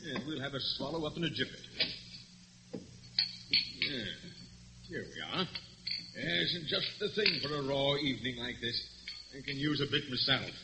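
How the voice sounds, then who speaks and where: An actor playing a stuffy, gullible middle-aged police inspector with old-fashioned phrasing, in old-time radio drama style. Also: male voice, mid-range voice, lightly acted. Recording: dull, noisy vintage radio recording.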